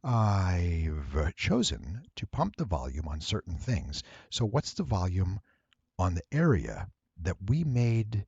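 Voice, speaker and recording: A man giving a casual, rambling software walkthrough, thinking aloud and playfully addressing his viewers. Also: low voice, wildly swooping pitch, takes it slowly, creaky, breathy edge, no room sound, muffled recording. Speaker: tutorial presenter and voice actor.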